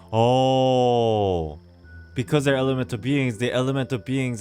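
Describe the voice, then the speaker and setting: A man doing casual playthrough commentary as a streamer, reflective and theorizing aloud as he goes. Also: medium pitch. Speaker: gaming streamer. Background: game music.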